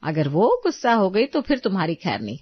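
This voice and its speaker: A woman's voice: lowish voice, sing-song, a little fast, slightly rough, no room sound. A woman voicing an anxious mother who frets and mutters in a cartoon story, recorded with a dull sound. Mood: warning. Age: middle-aged. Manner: worried, muttering. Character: anxious, fretting mother of a headstrong daughter. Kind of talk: acted cartoon story dialogue.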